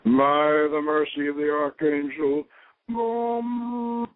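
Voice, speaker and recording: Male ritual host, unhurried and halting, solemn in invocations and informal in instruction, dry phone-quality radio sound. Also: on the high side, steady tone, no echo. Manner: halting, deliberate.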